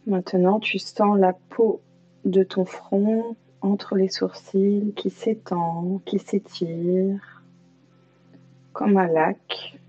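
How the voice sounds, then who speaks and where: A woman leading a guided yoga nidra meditation as an instructor, calm and soothing, leaving long pauses. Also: medium pitch. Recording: slightly dull lo-fi sound, music behind. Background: music.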